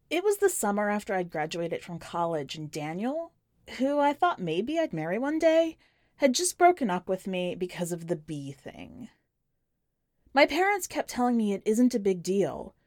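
A woman's voice: mid-range voice, darkish tone, no echo, clean studio sound. A woman hosting a podcast and reading a first-person short story in a wry, conversational and emphatic way. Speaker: podcast host and story reader.

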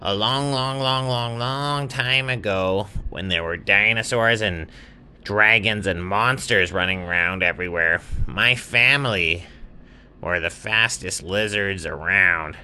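A man voicing a chatty, friendly lizard for a children's bedtime story, with drawn-out, emphatic and repetitive phrasing. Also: on the low side, sharp tone, smooth. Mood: eager, proud. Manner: drawn-out, emphatic, repeats words. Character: speedy chatty friendly lizard proud of his family.

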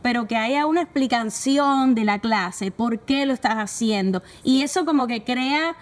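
A woman's voice: fairly high pitch, moderate pace. A woman talking casually and emphatically about friends and her community, often checking in with her listener. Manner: outgoing, emphatic, asks "me entiendes". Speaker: community co-founder, guest. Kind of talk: casual podcast conversation.

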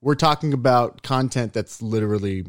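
A man's voice: mid-range voice, moderate pace, no room sound. Playful, mock-boastful young man, close mic. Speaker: podcast co-host. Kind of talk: casual comedic podcast banter.

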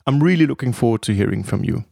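A man's voice: mid-range voice, expressive, fast talker, gravelly, darkish tone, no room sound, studio quality. A man hosting an interview podcast, introducing his guest and prompting him conversationally. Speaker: podcast host.

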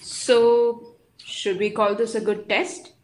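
A woman acting in an audio drama as an eager, excitable apprentice who reacts haltingly to a covert exercise. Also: a little high, rough recording. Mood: curious, pleased.

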